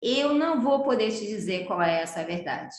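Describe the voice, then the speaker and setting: A woman's voice: medium pitch, moderate pace, a little hiss or hum. Brazilian woman teaching a yoga class. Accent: Brazilian Portuguese. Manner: warm, animated, asks students questions. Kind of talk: live online class lecture. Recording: roomy echo.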